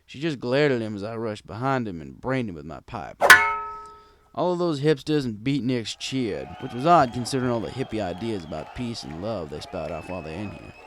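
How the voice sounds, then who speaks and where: A man's voice: lowish voice, lively intonation, a little fast, over music. A man reading a first-person story in a wry, conversational storytelling manner. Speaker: fan fiction reader.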